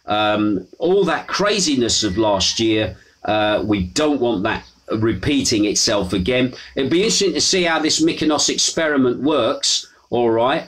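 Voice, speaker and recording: A man talks through the day's news on his own live broadcast in a chatty, loose way. He fills pauses with "uh" and "anyway" as he reads out viewer messages. His voice sits at an ordinary middle pitch. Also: fairly bright tone. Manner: chatty, frequent "uh" and "anyway" fillers.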